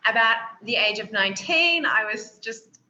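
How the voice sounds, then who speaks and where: A woman's voice: fairly high pitch, animated, normal speed, pushed and bright, slight background noise. Aussie woman in her twenties, reflective interview guest, echoey room, muffled sound. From Australia. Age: 20s. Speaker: guest, yoga teacher and confidence coach. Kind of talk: interview conversation. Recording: roomy, dull sound.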